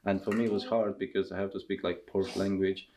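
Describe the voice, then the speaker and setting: A man in his thirties with a Russian accent, talking casually in halting English during a seated interview in a costume workshop. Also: deep voice, level tone, a little mellow. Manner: halting non-native English with restarts. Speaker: circus performer and tent master.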